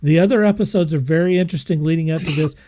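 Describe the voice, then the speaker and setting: Higher-voiced mellow man, emphatic podcast host. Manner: emphatic, effusive, halting delivery with repetition. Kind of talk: enthusiastic casual review talk.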